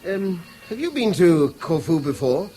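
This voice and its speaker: A man's voice: on the high side, sing-song, measured pace, warm tone, faint music behind, poor audio. A British actor in a 1970s radio drama playing a guarded, conscientious man with a polite, hesitant manner. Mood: relaxed, friendly.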